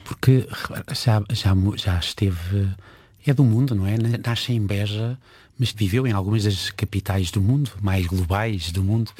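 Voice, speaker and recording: Husky Portuguese interviewer, low voice. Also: male voice, normal speed, darkish tone, dry recording. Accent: European Portuguese. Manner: probing, lightly teasing questions. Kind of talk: conversational interview.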